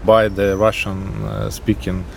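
Young gravelly-voiced lawyer. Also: male voice, lowish voice, flat delivery, unhurried, slight background noise. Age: young adult. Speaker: lawyer, interviewee. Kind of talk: interview, non-native English.

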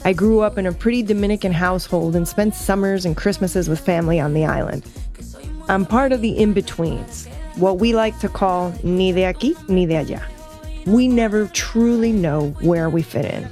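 Earnest, low-voiced woman narrating. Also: normal speed, dark tone, soft background music. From United States. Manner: reflective, earnest storytelling. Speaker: host and narrator. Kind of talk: scripted narration.

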